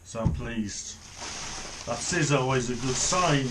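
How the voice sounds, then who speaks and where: Man, middle-aged, slow pace, casual hands-on commentary, thinking aloud, clear background noise. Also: mid-range voice. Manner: unhurried, thinking aloud while handling items. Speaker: hobbyist reviewer. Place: small home office, indoors.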